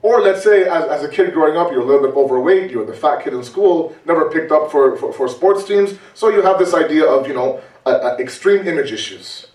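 A male preacher talks to his listeners in an easy, conversational way. He asks rhetorical questions and repeats words for emphasis, and his pitch rises and falls a lot. The mic sits some way off, and the room around him rings. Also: animated, a little fast, darkish tone. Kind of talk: religious talk. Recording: roomy, distant mic.

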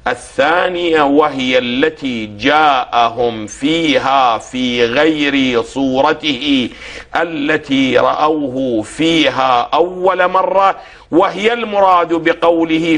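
A hoarse man, a religious scholar on a TV programme, lecturing in a didactic way and asking questions for the host to answer. He reads quoted passages slowly and deliberately. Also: fairly high pitch, a bit bright. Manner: slow, deliberate when reading quotations.

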